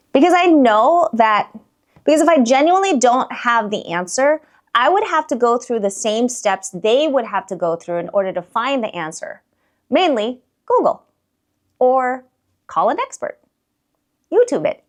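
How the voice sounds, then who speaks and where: A woman with a fairly high voice hosts a business talk show, speaking upbeat and self-deprecating. She explains her ideas through metaphors, laughs readily at her own stories and addresses her listeners directly. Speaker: beauty business owner and show host.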